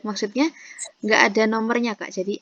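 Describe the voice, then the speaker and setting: A woman's voice: fairly high pitch, fairly even intonation, brisk, a bit bright, muffled recording. A woman moderating an online class, relaying questions and repeating phrases after the tutor. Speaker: club moderator.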